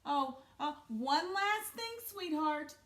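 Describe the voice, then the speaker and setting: Woman playing a storybook mother, affectionate, gently firm, lilting high pitch, echoey room. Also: animated, slow, distant mic, exaggerated voice. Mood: affectionate, instructive. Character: caring, instructive mother giving firm gentle advice. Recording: roomy, echoey sound.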